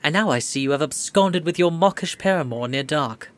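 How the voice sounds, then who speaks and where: A haughty, sneering brother from a period audio drama, acted by a man. His voice sits a little high for a man. He speaks pompously, in mocking, archaic formal phrases. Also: animated, brisk, natural voice. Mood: mocking, menacing.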